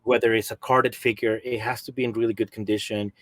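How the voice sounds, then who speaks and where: A man's voice: lowish voice, level tone, quick pace, fairly bright tone, dry recording. A middle-aged man with a Peruvian accent, chatting casually as a podcast guest about his hobby. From Peru. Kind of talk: casual chat about collecting. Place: basement room at home in a video call.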